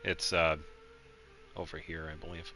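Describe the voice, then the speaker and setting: A man's voice: extremely low pitch, little pitch movement, unhurried, creaky, bright, forceful tone. A man streaming a video game, chatting in a casual, easygoing and rambling way. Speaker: game livestreamer. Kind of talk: casual chat while playing a game.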